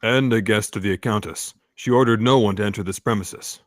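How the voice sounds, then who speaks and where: Man playing a stern, low-voiced attendant. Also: fairly quick, no echo, clean studio sound, close to an everyday voice. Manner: curt, commanding. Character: stern male attendant, thirty-five, dutiful guard. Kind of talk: live table read of a screenplay.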